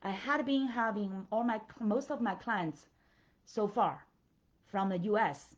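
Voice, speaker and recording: Chinese-accented woman coach, halting and earnest. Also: medium pitch, muffled recording. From China. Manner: halting, filler-heavy, checks in with "right?" and "okay?". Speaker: life and business coach. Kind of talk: motivational talk to followers.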